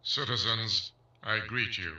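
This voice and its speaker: An actor playing a stern state executive giving a formal, declamatory address over a public broadcast speaker in an audio drama. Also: male voice, lowish voice, fairly even intonation, measured pace, pushed and bright, dull audio. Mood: formal, pompous. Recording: echoing, distant broadcast sound.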